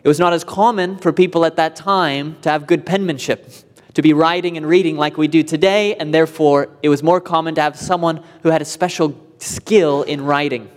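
Guy preaching a sermon, kinda high voice, earnest and explaining stuff, big echoey church. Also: steady tone, a little fast. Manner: earnest, explanatory, rising emphasis on key points. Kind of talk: expository sermon. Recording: long hall reverb.

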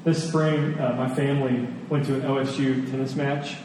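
A man giving a sermon in a conversational way, telling personal stories with emphatic repetition and pauses. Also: mid-range voice, little pitch movement. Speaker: preacher. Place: large reverberant room with an audience. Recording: echoey hall, distant, faint noise.

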